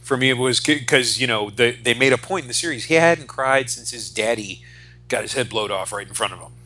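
A man in his forties chatting casually and profanely, retelling stories in an animated way as on a podcast. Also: medium pitch, brisk. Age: 40s. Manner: animated retelling with acted-out quotes. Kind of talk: casual, profane podcast chat about comics.

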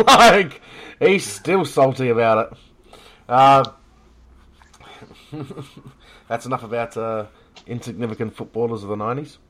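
A man with an Australian accent hosts a casual sports podcast. His voice sits at a medium pitch. He talks bluntly, jokes around and swears freely. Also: a little slow, pauses often, fairly bright tone. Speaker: football podcast host. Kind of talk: casual chat.

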